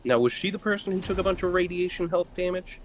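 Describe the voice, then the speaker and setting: A man's voice: high voice, lively intonation, quick pace, slight background noise. A man playing a tabletop roleplaying game, chatting casually and throwing in short reactions. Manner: brief reactive interjections.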